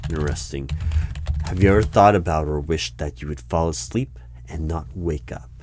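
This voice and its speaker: A man doing an ASMR interview roleplay, asking questions in a deadpan, clipped manner. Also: rumbling low, normal speed, noisy background. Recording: keyboard typing heard throughout. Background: keyboard typing and clicks.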